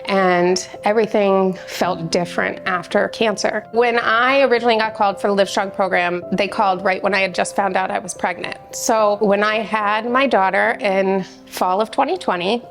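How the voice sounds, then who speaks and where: A mother speaking warmly and sincerely in a testimonial interview. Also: mid-range voice, moderate pace, few pauses. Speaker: mother, YMCA member. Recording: music bed under voice.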